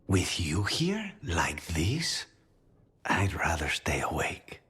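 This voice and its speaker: An actor playing a brooding, devoted assassin in a video game, weary and intimate, often speaking close to a whisper. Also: male voice, deep voice, wildly swooping pitch, slow pace, full character voice. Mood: tender, weary. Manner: hushed, breathy, often near whispering. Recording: faint music underneath.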